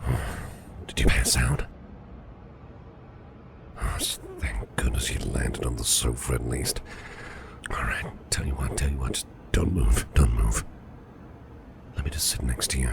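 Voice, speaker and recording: A man playing a kindly, protective businessman boss in an ASMR roleplay, speaking in a gentle, reassuring, gravelly murmur. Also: steady tone, a little slow, long pauses, full character voice. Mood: worried, soothing. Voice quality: very deep, gravelly, breathy murmur. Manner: gentle, reassuring, softly spoken. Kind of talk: ASMR personal attention roleplay. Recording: clear background noise and ambience.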